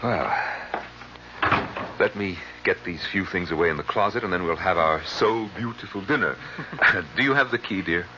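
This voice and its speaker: An actor playing an earnest, affectionate husband in an old-time radio mystery, with a theatrical, expressive delivery. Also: male voice, deep voice, moderate pace, noisy background, natural voice. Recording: muffled old radio recording.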